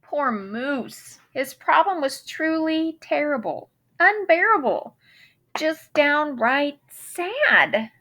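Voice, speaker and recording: A woman's voice: high-pitched, lively intonation, slow, pushed and bright. A woman reading a children's picture book aloud in a theatrical manner, savoring the rhymes and wordplay with dramatic emphasis. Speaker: storybook reader.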